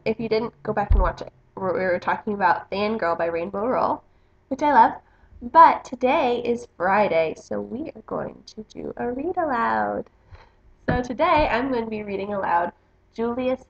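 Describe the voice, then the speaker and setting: A woman's voice: mid-range voice, animated, moderate pace, vocal fry. A woman book vlogger reading a picture book aloud in a casual, chatty style. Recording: slightly dull, roomy home recording.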